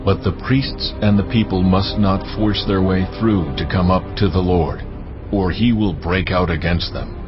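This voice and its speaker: A man voices God as an almighty, commanding presence, very deep and grave. Each line is measured and heavy. The audio sounds muffled, and orchestral music plays clearly behind him. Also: close to an everyday voice. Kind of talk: dramatized Bible reading.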